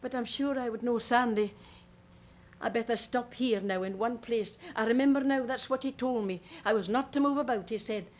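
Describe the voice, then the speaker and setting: A woman's voice: fairly high pitch, normal speed, lightly acted. A woman playing a chatty, hopeful young Scottish immigrant bride-to-be with a Scottish accent, in a one-woman monologue. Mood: anxious. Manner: earnest, chatty, rambling.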